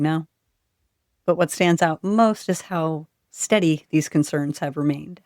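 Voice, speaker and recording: Woman doing scripted podcast narration, lowish voice, really dry close sound no room. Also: darkish tone, dry recording. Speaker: podcast host.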